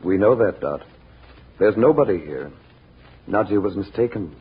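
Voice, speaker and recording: An actor in 1940s radio style playing a protective adventurer hero, urgent, authoritative and reassuring. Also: male voice, deep voice, lively intonation, moderate pace, slightly rough, heavily acted. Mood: firm, worried. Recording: tinny noisy old radio recording.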